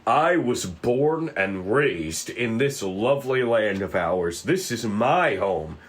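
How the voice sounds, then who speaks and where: A man's voice: lowish voice, very animated. A man playing a polite, composed FBI agent who speaks formally, in a script table read. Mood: calm, stern. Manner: courteous, measured, formal.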